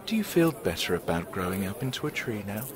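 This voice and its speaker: An actor playing a mysterious, sly old vagrant who sells stories, speaking in a deliberate, theatrical, teasing way in an audio drama. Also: male voice, lowish voice, big pitch swings, a crowd in the background. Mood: gentle, whimsical.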